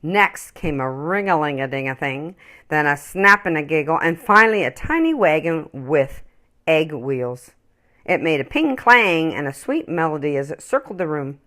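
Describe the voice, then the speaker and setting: Animated woman reading a children's story. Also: sits low, moderate pace. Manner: animated, emphatic, dramatic phrasing.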